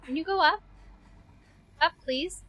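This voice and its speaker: A woman's voice: extremely high pitch, takes it slowly, lo-fi sound. A woman game streamer giving casual playthrough commentary, muttering reactions to herself as she plays.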